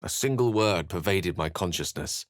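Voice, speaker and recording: Low-pitched, eloquent, wry wizard. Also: male voice, lively intonation, moderate pace, no echo, studio quality. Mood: grave, haunted. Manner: articulate, theatrical, wordy and wry. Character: eloquent, scholarly male wizard with a wry temper. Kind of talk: video game voice acting, dramatic narration and dialogue.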